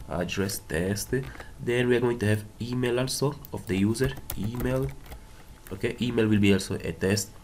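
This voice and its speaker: A man's voice: fairly low pitch, a little mellow. A man with a Portuguese accent, a native Portuguese speaker, giving a casual step-by-step coding tutorial, often repeating words and checking in with short tags. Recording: clear background noise, keyboard typing and clicks. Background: computer keyboard typing and clicks.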